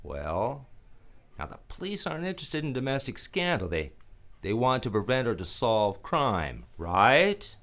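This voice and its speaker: An actor playing a wry, weary hardboiled detective in a radio drama, talking conversationally with some hesitation. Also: male voice, mid-range voice, big pitch swings, a little slow, fairly bright tone. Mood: casual, persuasive. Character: wry, weary male private detective narrating his case.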